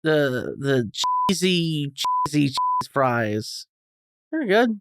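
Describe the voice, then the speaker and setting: Rambling man with very animated intonation. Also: a little high, slow pace, clear, resonant tone, no room sound, studio quality. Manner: self-deprecating, rambling. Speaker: podcast guest. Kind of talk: casual comedic chat.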